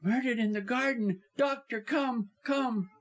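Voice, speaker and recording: A man's voice: very high voice, moderate pace, voice pushed up. A man voicing a frightened yet imperious young wife, vehement and impatient, in an audiobook story. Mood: terrified. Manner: gasping, vehement, haughty.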